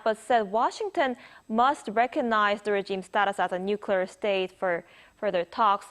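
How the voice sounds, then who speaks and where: Woman, news anchor and interviewer. Slightly high voice, measured and formal broadcast delivery.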